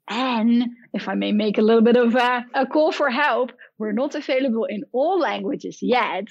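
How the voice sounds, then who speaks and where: A woman's voice: a little high, no room sound, muffled recording. A woman with a Dutch accent, a guest on a podcast, enthusiastically explaining programming education with examples. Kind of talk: podcast interview.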